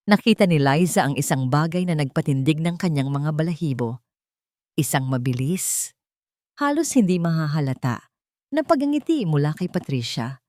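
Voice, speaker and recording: A woman narrating a scripted romantic drama as a measured, suspenseful storyteller. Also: sits low, a little mellow, no echo, clean studio sound.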